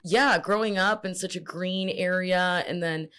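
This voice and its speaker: A woman's voice: lowish voice, steady tone, a little slow, bright, forceful tone, clear, resonant tone. A woman from Puerto Rico and Central Florida, a company founder, talking in an enthusiastic, casual way on a podcast. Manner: enthusiastic, casual, laughs easily, says "right?" and "dude". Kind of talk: casual interview chat.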